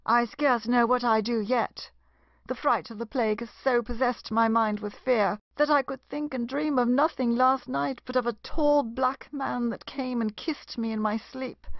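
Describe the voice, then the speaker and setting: A woman as coy Restoration lady, fanciful, playful, fairly high voice, dry lo-fi recording. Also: no echo. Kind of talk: Restoration comedy audio play acting.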